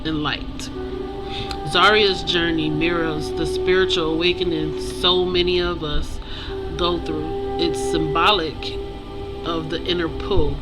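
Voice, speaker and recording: An author speaking reflectively and earnestly to viewers in short, halting phrases with pauses. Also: medium pitch, low-quality recording. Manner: earnest, inspirational. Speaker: author and creator introducing own book. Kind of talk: personal, reflective talk to viewers about own book. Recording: loud music behind the voice. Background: music.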